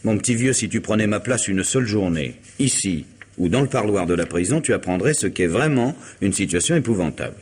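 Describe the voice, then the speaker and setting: An actor playing a suave, middle-aged lawyer in a French radio mystery, wry, urbane and unruffled. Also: male voice, lowish voice, animated, brisk, few pauses, a little mellow, slightly rough. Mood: wry, teasing. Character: suave, ironic middle-aged male lawyer, coolly self-possessed.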